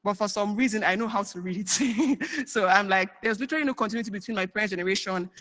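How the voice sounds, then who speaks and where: A woman's voice: mid-range voice, quick pace, a bit bright. A young woman giving a chatty conference research talk, full of fillers. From Nigeria. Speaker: researcher presenting.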